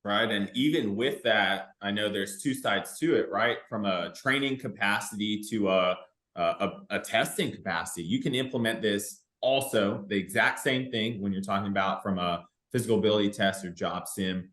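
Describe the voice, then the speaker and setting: A man's voice: fairly low pitch, normal speed, fairly bright tone. A man hosting an informal instructional podcast discussion, explaining in a rambling way, repeating words and checking in with "right?". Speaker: podcast host and fire academy fitness instructor. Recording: roomy, echoey sound.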